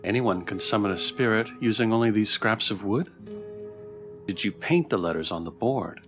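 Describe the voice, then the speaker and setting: A man streams a game in a relaxed, chatty style. His voice is on the low side, and he reads options aloud between comments. Faint game music plays behind him. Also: normal speed. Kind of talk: casual livestream commentary, reading choices aloud.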